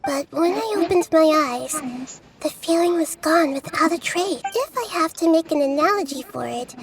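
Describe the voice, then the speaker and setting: A woman playing a gentle, thoughtful young girl deity in a video game, speaking in a measured, earnest way. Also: high-pitched, close to an everyday voice, poor audio. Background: background music.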